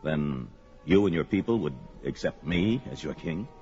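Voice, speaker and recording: Casting: proud young warrior barbarian, deep heroic voice, music behind, fantasy radio play. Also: male voice, lively intonation, moderate pace, a little mellow. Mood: wary. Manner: heroic, blunt. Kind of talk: audio sword-and-sorcery drama acting. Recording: dull lo-fi audio with music.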